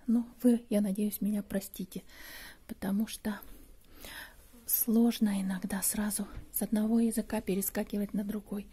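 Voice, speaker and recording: A middle-aged woman from Russia, chatting as a vlogger while she walks through shops, often speaking softly, almost in a whisper. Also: mid-range voice, flat delivery, normal speed. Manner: soft, often near-whispered commentary while browsing. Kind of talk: chatty walking vlog narration.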